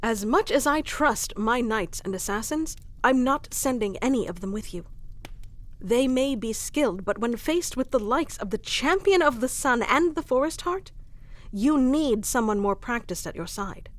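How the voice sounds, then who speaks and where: A woman's voice: fairly high pitch, a bit bright, dry recording. A woman playing a proud, protective warrior queen in an intimate fantasy audio roleplay, speaking with formal, wry, commanding diction.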